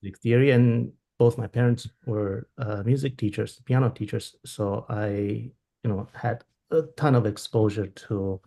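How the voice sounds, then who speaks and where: A man in his thirties from Taiwan, answering interview questions over a video call in a thoughtful way, often pausing and restarting as he looks for words. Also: on the low side, fairly even intonation, creaky, mellow. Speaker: violinist guest. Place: home room with plain wall. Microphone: laptop webcam on video call.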